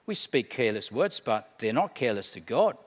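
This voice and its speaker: A middle-aged man preaching a sermon, emphatic, swinging between hushed and loud delivery. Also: medium pitch, big pitch swings, a little fast. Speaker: pastor. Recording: long hall reverb.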